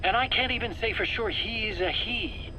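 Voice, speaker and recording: High-voiced, nervous, eager scientist. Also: male voice, normal speed, pushed and bright, rough recording. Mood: secretive, insistent. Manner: earnest, explanatory, pleading. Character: nervous, eager male scientist under interrogation, self-justifying. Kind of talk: dramatic video game voice acting. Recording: faint background noise, cassette tape interrogation.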